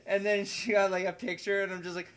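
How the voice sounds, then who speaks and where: High, bright-voiced young man chatting excitably. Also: fast talker. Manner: excitable, giggly storytelling with acted-out quotes. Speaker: podcast host and video maker. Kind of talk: casual rambling podcast chat with friends.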